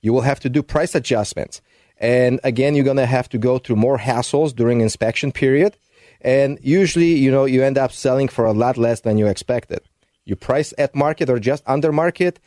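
A man's voice: medium pitch, moderate pace, mellow, no room sound, clean studio sound. A man speaking as a realtor guest on a radio show, conversational and full of fillers.

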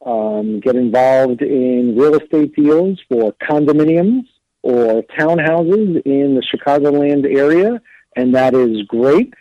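A man speaking as an attorney guest on a radio show, explaining terms in a measured way. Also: on the high side, dry recording, highs cut off.

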